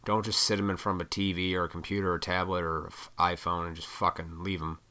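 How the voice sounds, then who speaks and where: A man in his thirties rants off the cuff, swearing and wandering between points. His voice sits low but comes out hard and pushed, with a froggy throat he keeps clearing. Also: little pitch movement, fast talker, bright, forceful tone. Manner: profane, opinionated, rambling. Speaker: vlogger. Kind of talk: rambling casual rant to camera.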